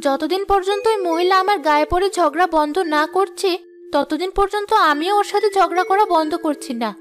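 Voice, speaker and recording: Very high-pitched, haughty young cartoon wife played by a woman. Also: fairly quick. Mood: indignant. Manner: haughty, complaining. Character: vain, quarrelsome young village daughter-in-law. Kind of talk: cartoon voice acting.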